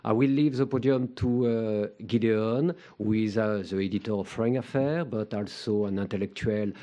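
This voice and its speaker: A man's voice: lowish voice, fairly even intonation, moderate pace, mellow. A man from New York introducing the speakers at a conference, hesitant and full of fillers. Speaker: event organizer. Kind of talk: formal introduction.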